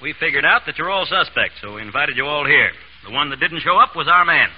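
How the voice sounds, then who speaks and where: A skeptical, blunt police inspector in 1930s radio style. His voice sits fairly high and moves a lot, with colloquial cop phrasing. The sound is muffled and old. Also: lively intonation, fast talker, breathy edge. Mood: blunt, smug. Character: gruff, skeptical, plain-spoken police inspector. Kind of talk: 1930s radio drama acting. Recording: noisy, muffled vintage radio broadcast.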